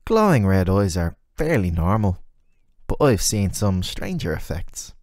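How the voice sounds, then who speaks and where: A man's voice: low voice, sing-song, measured pace, darkish tone, dry recording, clean studio sound. A man voicing a flirty, sardonic, ageless male demon who teases the listener in casual, slangy, intimate roleplay audio. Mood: playful, flirty.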